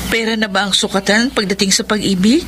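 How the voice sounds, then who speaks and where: Actress as rich young filipina in love, determined, persuasive, mid pitch, radio drama, bit of background noise. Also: female voice, steady tone, a little fast, close to an everyday voice. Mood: passionate.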